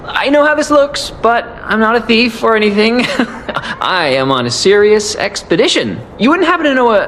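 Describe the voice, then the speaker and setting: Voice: medium pitch, fairly bright tone, a little hiss or hum. An actor playing an eager, awkward amateur treasure hunter in a video game, flustered, hesitant and earnest. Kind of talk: video game character acting.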